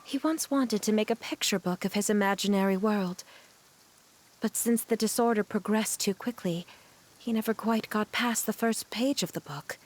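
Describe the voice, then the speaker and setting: A woman playing a gentle, resolute but sorrowful mother in a video game, speaking in a measured, earnest way. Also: medium pitch, natural voice.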